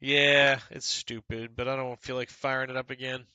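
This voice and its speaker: A man's voice: medium pitch, flat delivery, normal speed, pushed and bright, dry recording, muffled recording. A man streaming a golf video game, chatting casually with his viewers and talking to them by name. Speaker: gaming streamer. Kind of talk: casual commentary over gameplay.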